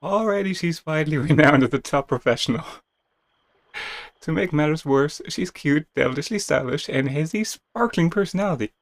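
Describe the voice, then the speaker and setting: A man's voice: a little high, animated, normal speed, dry recording, clean studio sound. A man reading a video game's story aloud as a dramatic narration, with playful casual asides. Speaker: video game streamer reading game text aloud.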